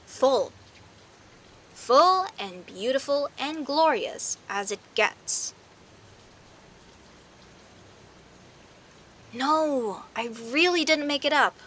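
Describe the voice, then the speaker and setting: A woman's voice: sits high, lively intonation, a bit bright, exaggerated voice. A woman playing a friendly, teasing werecat neighbor in an audio roleplay, talking conversationally and straight to the listener. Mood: earnest, insistent. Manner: teasing, indignant, conversational with sighs and pauses. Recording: faint hiss and rain noise. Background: rain ambience.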